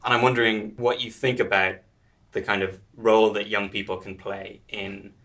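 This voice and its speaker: A man hosting a live panel, asking long, measured, reflective questions. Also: fairly low pitch, flat delivery, a bit bright. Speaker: interviewer host. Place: live event on stage.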